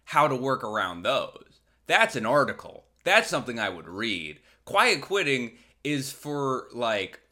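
Bright-voiced man on a casual comedic rant. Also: mid-range voice, very animated, a little slow, few pauses. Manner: profane, colloquial, sarcastic; acts out imagined quotes. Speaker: podcast host.

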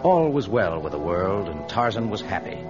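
A man's voice: sits low, sing-song, normal speed, over music. A man giving scripted dramatic narration in the manner of an old radio adventure serial. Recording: dull vintage radio transcription.

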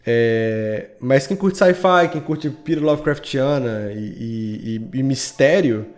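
A man's voice: mid-range voice, slow pace, a little mellow. A man with a Brazilian accent chatting informally and with slang as a guest podcast creator. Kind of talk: casual chat.

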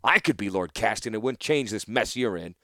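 A man voicing a stern veteran weapons master who gives calm, commanding orders. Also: fairly low pitch, brisk, dry recording, studio quality. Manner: calm, commanding, dryly threatening.

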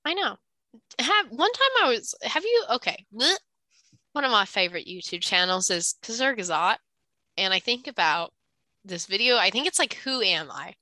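A woman's voice: mid-range voice, big pitch swings, normal speed, pushed and bright, dry recording. A young adult woman with a slightly congested, nasal voice, chatting casually and jokily as a podcast co-host in a self-deprecating way. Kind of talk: casual banter between siblings.

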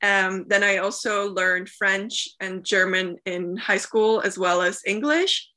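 Bright-voiced young Flemish woman, chatty. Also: medium pitch, takes it slowly. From Belgium, Flemish part. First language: Dutch. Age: 20s. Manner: chatty, frequent "like" fillers. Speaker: guest, travel content creator. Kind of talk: casual interview answers.

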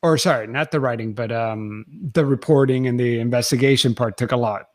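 A man's voice: medium pitch, fairly even intonation, a little fast, dry recording, studio quality. A man, a podcast producer, chatting enthusiastically as an interview guest, laughing often and restarting his sentences. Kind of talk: casual interview chat.

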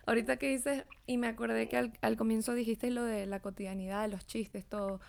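A woman from Venezuela, talking in a lively, expressive way in a casual conversation. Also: fairly high pitch, a little fast, vocal fry. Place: living in Mexico.

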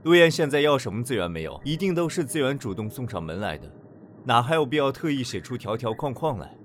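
A male actor plays a smooth-talking, diplomatic corporate assistant in an audio drama. His pitch is middling and varies a good deal. Faint noise is heard behind him. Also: big pitch swings, quick pace, close to an everyday voice. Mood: polite, persuasive. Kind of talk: Chinese audio drama acting.

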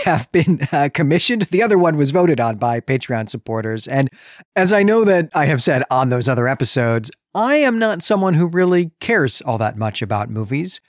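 A man's voice: medium pitch, no echo, clean studio sound. A man co-hosting a podcast in an earnest, emphatic, conversational style. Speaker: podcast co-host. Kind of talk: conversational film discussion and plot synopsis.

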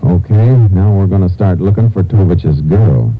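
An actor playing a laconic, folksy Texas Ranger detective in an old-time radio crime drama, speaking matter-of-factly. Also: male voice, low-pitched, moderate pace, narrow, tinny audio. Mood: businesslike. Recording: band-limited vintage radio recording with music.

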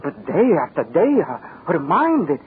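Alarmed messenger, high pitch, hesitant, pleading, very animated, muffled old radio horror. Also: male voice, a little hiss or hum. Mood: fearful insistence. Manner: hesitant, halting. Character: alarmed, pleading bearer of dreadful news.

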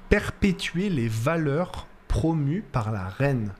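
Un homme à l'accent français de France qui coanime une discussion en direct, hésitant, répétant ses mots en cherchant ses idées. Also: male voice, medium pitch, sing-song, takes it slowly, darkish tone, a little hiss or hum. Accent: French from France. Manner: hesitant, repeats words while searching. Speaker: co-host. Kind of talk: casual chat on a live stream.

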